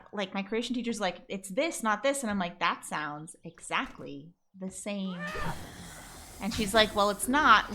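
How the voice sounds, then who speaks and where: A woman streaming a survival game, chatting casually and rambling with plenty of fillers. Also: medium pitch. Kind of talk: casual chat while gaming. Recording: loud background noise with clicks.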